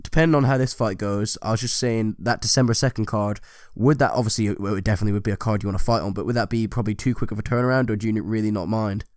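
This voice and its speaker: A man's voice: on the low side, fairly even intonation, fast, a little mellow, dry recording, clean studio sound. A man interviewing a fighter, asking long, rambling questions full of fillers.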